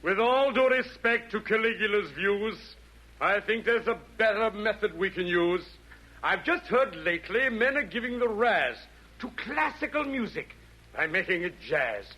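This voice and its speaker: Casting: fiendish, vain aesthete emperor, high-pitched and animated, affected diction, 1940s radio comedy. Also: male voice, normal speed, heavily acted, low-quality recording. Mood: smug, scheming. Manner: affected, florid rhyming delivery. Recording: old 1940s radio broadcast.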